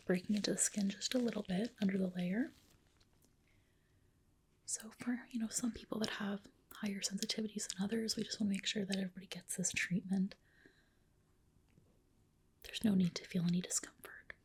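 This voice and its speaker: A woman whispering softly and breathily in a soothing, reassuring ASMR roleplay as a dermatologist, close to the microphone. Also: medium pitch, slight background noise. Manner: soothing, reassuring, unhurried explanations.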